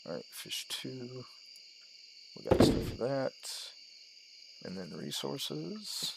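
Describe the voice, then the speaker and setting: A man's voice: medium pitch, big pitch swings, slow, gravelly, heavy background noise. A man giving casual let's-play commentary, talking himself through each step in short, trailing phrases. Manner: narrates own steps aloud in short, trailing phrases. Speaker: gaming video host.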